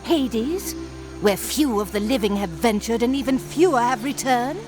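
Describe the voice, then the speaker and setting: An actress playing a haughty, ominous sorceress in a fantasy game, with an imperious, theatrical delivery. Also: female voice, a little high, lively intonation, moderate pace, over music, lightly acted. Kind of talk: video game cutscene acting.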